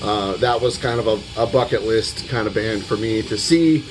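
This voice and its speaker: A man with a slightly hoarse voice giving a casual vlog recap, rambling and hesitant, with the odd casual swear word. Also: on the low side, normal speed, rough recording. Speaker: music vlogger. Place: at home. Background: metal music playing in the background.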